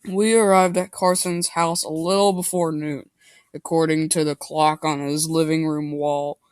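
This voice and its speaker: A man's voice: on the high side, little pitch movement, a little slow, clear, resonant tone, no echo, studio quality. A man giving a mock dramatic reading of amateur fiction, goofy and theatrical, often breaking off to comment. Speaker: reader and commentator.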